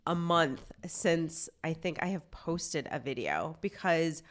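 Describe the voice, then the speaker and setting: A woman's voice: deep voice, slow. A woman in her forties, a life coach hosting her own show, talking in a chatty, earnest way while still congested from a cold. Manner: chatty, earnest, lots of "like" fillers. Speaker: life coach and podcast host. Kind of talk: casual self-help talk to camera.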